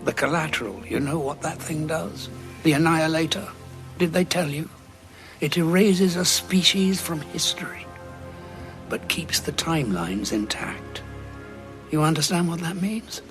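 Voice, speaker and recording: Audio drama: grim, guilt-ridden Time Lord veteran, gravelly, sardonic, music behind. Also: male voice, on the high side, fairly bright tone. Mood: grim, haunted. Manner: dramatic, deliberate, dryly sardonic. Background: background music.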